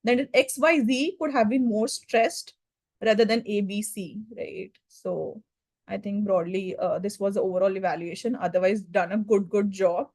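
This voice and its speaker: A woman's voice: medium pitch, steady tone, darkish tone, no echo. Woman evaluating a speech, dry sound. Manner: measured, hesitant with "uh" fillers. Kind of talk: spoken evaluation at a club meeting.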